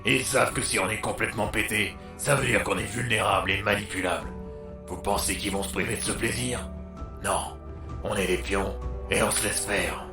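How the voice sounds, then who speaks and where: A man acts out a jaded, sardonic doctor for an audio drama. His low voice is gravelly and forceful, full of crude slang. The sound is roomy. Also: steady tone, normal speed, music in the background, lightly acted. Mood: bitter, intense. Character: bitter, worn-out, foul-mouthed male doctor with superpowers. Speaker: voice actor.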